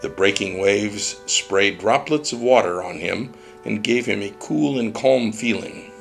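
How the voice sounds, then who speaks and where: A man with a gravelly voice narrating a scripted story as a storybook reader. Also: on the low side, a little slow, faint music behind, rough recording.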